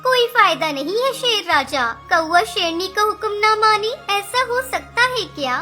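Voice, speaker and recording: A woman's voice: very high-pitched, expressive, unhurried, sharp tone, full character voice. A woman voicing a clever, bold little mother bird in a children's cartoon story, emphatic and scolding. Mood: bold, insistent. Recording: music behind the voice.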